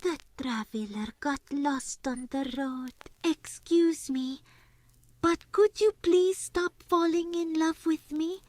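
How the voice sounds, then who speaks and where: A woman's voice: high voice, takes it slowly, darkish tone, dry recording, voice pushed down. A woman voicing a weary, polite young traveler with an apologetic, pleading manner in a storybook dub. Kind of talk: dubbed children's storybook acting.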